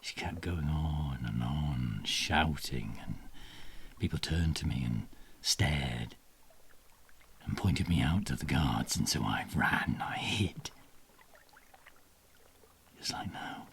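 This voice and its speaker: A man's voice: very deep voice, animated, gravelly, a little hiss or hum, exaggerated voice. Man acting a haunted fisherman, swinging in volume. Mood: guilty, anguished. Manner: halting, dropping to whispers and rising to shouts. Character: haunted fisherman disciple in hiding, guilty and grieving. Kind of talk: dramatic monologue in an audio dramatisation.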